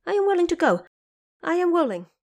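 A woman voicing a trapped male monkey sage in an audio drama, eager and pleading, with a loud, excitable delivery. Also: very high-pitched, fairly quick, voice pushed up, heavily acted.

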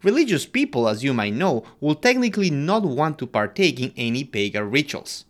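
A man from Ecuador co-hosting a podcast, reading a scripted history segment in an expressive way. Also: moderate pace. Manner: animated, expressive delivery with wide pitch swings. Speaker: podcast co-host.

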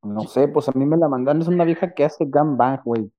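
A man with a Mexican accent on a stream call, talking quietly and offhand. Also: fairly high pitch, a little fast. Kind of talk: casual live-stream banter. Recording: quiet muffled call audio.

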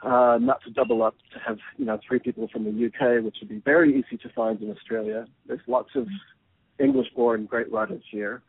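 A man editing an anthology answers interview questions, thoughtful and lively, often restarting his phrases. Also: mid-range voice, fairly quick, vocal fry, husky, no echo. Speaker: anthology editor. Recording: narrow phone-like line, dry.

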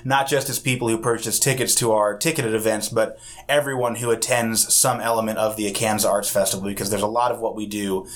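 A man's voice: fairly low pitch, fairly even intonation, brisk. A man who runs an arts festival, answering interview questions in an affable, rambling way, with plenty of fillers. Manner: affable, explains at length with many fillers. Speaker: arts festival executive director.

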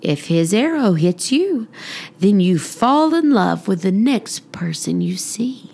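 A woman's voice: mid-range voice, big pitch swings, slow pace, vocal fry, warm tone, slight background noise, exaggerated voice. A woman voicing a playful, patient father in a storybook, wry as he explains things. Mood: playfully explaining.

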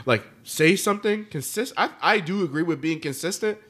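A man with a somewhat high voice talks casually among friends. He is slangy and opinionated, stating his points with emphasis. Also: lively intonation, moderate pace, a bit bright. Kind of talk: casual chat.

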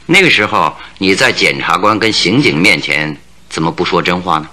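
Shrewd, sharp-voiced radio-drama detective. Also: male voice, deep voice, lively intonation, heavily acted. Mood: probing, composed. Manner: deliberate, probing, theatrical. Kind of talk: Chinese radio drama acting.

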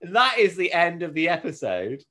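A man's voice: on the high side, sing-song, moderate pace, bright, forceful tone. A British man hosting a podcast, chatting casually and with amusement as he recaps a TV show. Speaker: podcast host.

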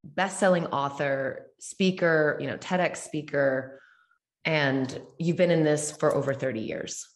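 A woman's voice: deep voice, a little slow. A woman hosting a podcast interview, upbeat and casual, laughing often and swearing now and then. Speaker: podcast host.

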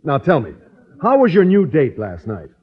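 An actor playing an earnest, naive suitor in a 1950s radio sitcom, eagerly explaining himself. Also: male voice, fairly high pitch, wildly swooping pitch, moderate pace. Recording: dull vintage radio recording.

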